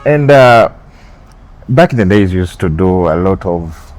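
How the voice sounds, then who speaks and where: A man hosting a casual interview, with a lively introduction to the show and then short, steady prompts and agreements. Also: low voice, a little slow, faint music behind, poor audio. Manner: lively host intro, otherwise brief affirmations. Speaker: interview host.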